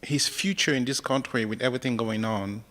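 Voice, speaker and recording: A man from Cameroon in the audience, asking his question softly and hesitantly. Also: medium pitch, a little fast, long reverb tail, a little hiss or hum. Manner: soft-spoken, hesitant.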